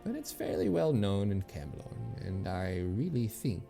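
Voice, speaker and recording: A man's voice: on the low side, very animated, moderate pace, gravelly, mellow, soft background music. A man voicing a kindly, wistful old woman, a former nursemaid and healer, in a story read aloud. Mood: wistful, confiding.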